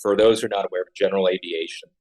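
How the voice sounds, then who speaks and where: A man's voice: low-pitched, fairly even intonation, rapid-fire, a little mellow. A man in his forties, a guest architect, talking earnestly in an interview and often repeating words with many fillers. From Honolulu, Hawaii. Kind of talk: conversational interview.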